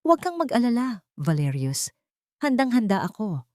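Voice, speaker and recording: A woman voicing a cold, scheming rich mother in an audio drama, calm and haughty. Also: fairly high pitch, moderate pace, no room sound, studio quality, voice pushed up. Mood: smug. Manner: calm, haughty, code-switching Tagalog and English.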